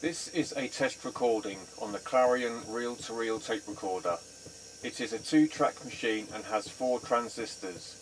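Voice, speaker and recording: A man giving a scripted demonstration in a plain, measured, matter-of-fact way. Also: mid-range voice, rough recording. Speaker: presenter demonstrating vintage equipment. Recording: faint background noise.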